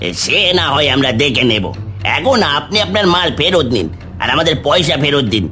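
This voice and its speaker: A man voicing a defiant village market vendor in a cartoon, arguing forcefully. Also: on the high side, animated, a little fast, soft background music. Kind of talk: cartoon character dialogue.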